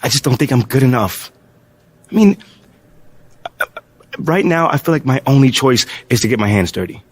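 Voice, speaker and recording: A man's voice: medium pitch, expressive, moderate pace, slightly rough. A man playing an earnest, proud but unsure basketball player in his twenties, talking casually and hesitantly in a naturalistic game cutscene. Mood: doubtful, frustrated. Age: 20s.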